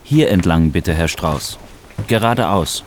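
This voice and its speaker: A man playing a polite, attentive hotel receptionist in a scripted language-course dialogue, courteous and clearly enunciated. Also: low-pitched, animated, slow, a little hiss or hum. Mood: polite, guiding.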